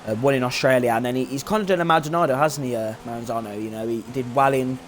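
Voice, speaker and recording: A man's voice: mid-range voice, fast talker, slight background noise. Man commentating, hesitant and rambling. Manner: hesitant, filler-heavy, self-correcting. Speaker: sim racing league commentator. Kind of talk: live race commentary chat.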